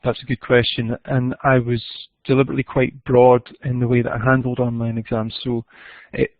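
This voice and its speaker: Guy answering webinar questions, monotone and a bit hesitant, dry tinny audio. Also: mid-range voice, brisk, no room sound. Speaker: webinar presenter. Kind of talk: presentation and Q&A.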